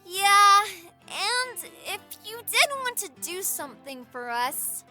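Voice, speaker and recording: A woman's voice: very high voice, sing-song, slow pace, over music, heavily acted. A woman playing a kind, earnest kid, wise beyond their years, in an audio drama. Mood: encouraging. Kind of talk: scripted audio drama acting.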